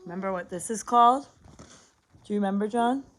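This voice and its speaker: A woman's voice: mid-range voice, moderate pace. A woman reading a picture book aloud as a lesson for young children, explaining simply, asking questions and repeating words.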